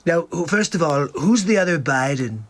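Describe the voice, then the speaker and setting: A man hosting a comedy livestream talks over the clips he plays in a somewhat high voice. His asides are sarcastic and incredulous, and he sounds like he is streaming from a home setup. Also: lively intonation, normal speed. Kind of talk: mocking commentary between clips.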